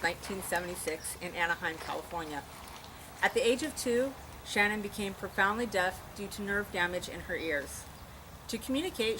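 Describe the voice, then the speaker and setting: A woman reads a life history at a memorial. Her pitch is average, and there is clear background noise from an outdoor event. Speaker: eulogist, friend of the deceased. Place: outdoors at a park. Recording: noisy outdoor event recording.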